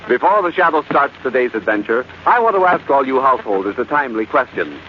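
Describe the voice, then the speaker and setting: A man's voice: medium pitch, sing-song, fast, faint music behind. A man announcing in a formal, polished and emphatic 1940s radio style. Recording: muffled vintage radio broadcast, faint noise.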